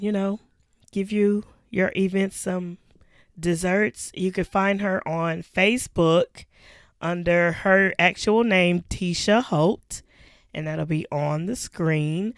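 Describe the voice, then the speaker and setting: A hoarse woman co-hosting a podcast, chatting casually with laughs and slow, drawn-out lists. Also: mid-range voice, dry recording, studio quality. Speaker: podcast co-host. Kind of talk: casual chat.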